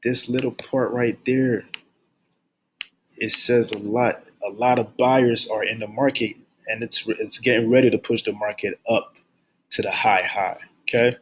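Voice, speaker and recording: A young man teaching forex basics, keeping his voice low and subdued, and repeating key phrases over and over as he drills them in. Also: steady tone, unhurried, slight background noise, poor audio. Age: young adult. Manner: speaks low and subdued on purpose. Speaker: forex trading instructor. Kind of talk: screen-share trading lesson. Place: at his workplace.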